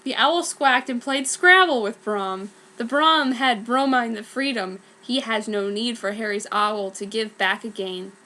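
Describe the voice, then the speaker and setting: A woman's voice: fairly high pitch, a little slow, few pauses, sharp tone. A woman narrating a piece of fan fiction as a theatrical, emphatic dramatic reading.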